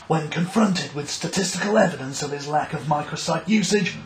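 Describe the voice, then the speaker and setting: A man's voice: a little high, a little fast, noisy background, natural voice. A man playing an earnest, self-important British TV reporter in a mock investigative news show, with dramatic, portentous delivery. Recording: roomy voice with music behind.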